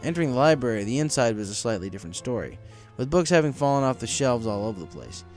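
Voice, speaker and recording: A man's voice: medium pitch, very animated, quick pace, a little mellow. A man narrating a scripted story as an audiobook reader, in a dramatic storytelling manner. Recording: music behind the voice.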